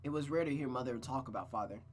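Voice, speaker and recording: A man's voice: medium pitch, fast, faint music behind. A man streaming a visual novel, narrating it aloud like a storybook and adding casual asides.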